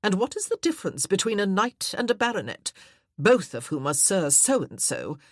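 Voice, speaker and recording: A woman's voice: medium pitch, very animated, moderate pace, no room sound, studio quality, pitched above the performer's own voice. A woman voicing a fussy, insistent middle-aged aunt who scolds and badgers. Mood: badgering.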